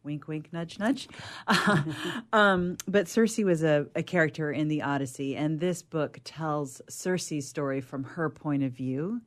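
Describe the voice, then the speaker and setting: A woman's voice: sits low, slow pace. A woman speaking casually as a panelist in a book discussion.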